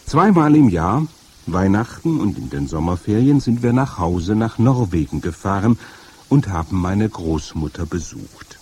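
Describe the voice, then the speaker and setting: A man narrating a first-person children's story with dramatic, animated delivery. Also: sits low, a little slow, slightly rough. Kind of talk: first-person audiobook reading.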